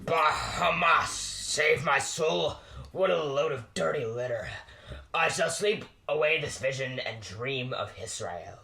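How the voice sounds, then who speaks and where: A man's voice: a little high, expressive, slow, creaky, sharp tone, heavily acted. A man playing a grumpy, haunted miser cat with theatrical bluster in an amateur radio play. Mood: dismissive, grumbling.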